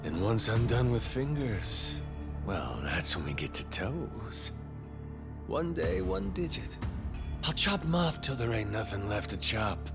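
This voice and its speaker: A man's voice: mid-range voice, big pitch swings, unhurried, vocal fry. A man playing a menacing, playful yakuza pirate captain in a video game dub, with a theatrical, folksy, taunting manner. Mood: threatening. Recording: music behind the voice.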